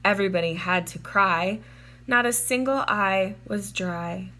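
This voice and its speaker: A woman reading a children's storybook aloud in a measured, deliberate and expressive way, drawing out words. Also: medium pitch. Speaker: children's storybook reader, teacher. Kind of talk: read-aloud storybook narration for young children.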